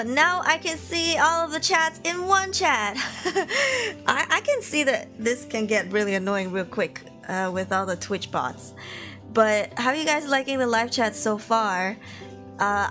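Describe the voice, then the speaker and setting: A woman's voice: on the high side, fairly bright tone. Bubbly, giggly woman streamer. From Malaysia. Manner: bubbly, giggly, chatty with viewers. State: sniffly, tired voice. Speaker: VTuber streamer. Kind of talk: casual livestream chat. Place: home room.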